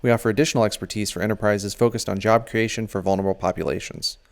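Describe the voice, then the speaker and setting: Fast-talking low-voiced male announcer. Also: steady tone, creaky. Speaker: sponsor message announcer. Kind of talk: scripted promotional narration.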